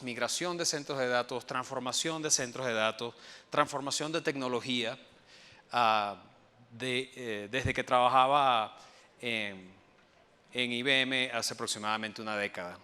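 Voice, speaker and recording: A man from Venezuela with a Venezuelan accent, giving a conference presentation as an enterprise architect, pausing often with fillers and restarts. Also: mid-range voice, pushed and bright, clear, resonant tone. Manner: hesitant, many fillers and restarts. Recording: long hall reverb.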